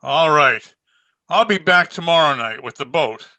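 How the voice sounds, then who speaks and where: An actor playing a tall, wary killer in an old radio suspense play, talking in curt, suspicious phrases. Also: male voice, on the high side, lively intonation, a little slow, bright, forceful tone, no room sound. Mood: wary, plotting. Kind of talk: 1940s radio suspense acting.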